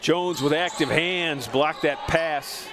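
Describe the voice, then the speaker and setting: Guy calling a college hoops game live, bit high voiced, jokey, loud crowd and gym noise all around. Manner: lively, joking, calls plays in quick bursts. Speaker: play-by-play announcer. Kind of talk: live basketball play-by-play.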